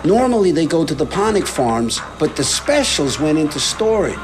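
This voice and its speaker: Brief: threatening young-blooded gang boss for a game, animated, music underneath. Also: male voice, fairly high pitch, normal speed, rough recording. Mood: guarded. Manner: tough, foul-mouthed. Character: hostile streetwise male gang leader, edgy, threatening. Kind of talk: video game cutscene acting.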